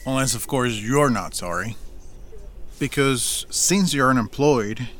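Male actor as gruff farm patriarch, needling and impatient, medium pitch, audio drama. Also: measured pace, a bit bright, lightly acted. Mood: sardonic, impatient.

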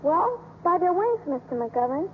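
Little orphan girl, about eight: very high, eager, innocent; muffled, hissy vintage radio. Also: big pitch swings, moderate pace. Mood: earnest, polite. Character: earnest eight-year-old orphan girl who sees angels. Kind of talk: 1950s radio drama acting. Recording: muffled, noisy old radio broadcast.

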